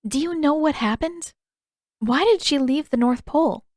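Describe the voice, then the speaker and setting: A woman's voice: high voice, moderate pace, a little mellow, dry recording, clean studio sound, voice pushed up, lightly acted. A woman voicing a headstrong, curious teenage girl in an audiobook, earnest, candid and full of pressing questions. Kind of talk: audiobook character dialogue.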